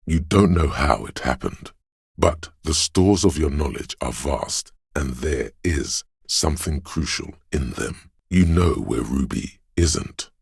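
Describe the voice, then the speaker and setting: Game role: erudite inner voice of pure knowledge, extremely low and husky, measured, pedantic narration. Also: male voice, lively intonation, dry recording, studio quality, full character voice. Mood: matter-of-fact. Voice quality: very deep, gravelly, husky.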